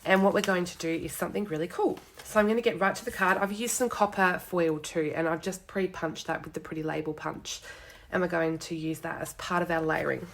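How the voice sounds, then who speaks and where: A young woman with a cold and a hoarse, snuffly voice chats casually through a live card-making demo at her home desk, greeting viewers with lots of ums. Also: on the low side, fairly even intonation, a little fast.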